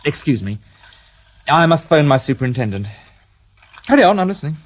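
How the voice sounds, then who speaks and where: A wry, weary detective inspector. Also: male voice, on the high side, very animated, brisk. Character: dry, sardonic male detective inspector, middle-aged. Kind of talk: radio drama acting.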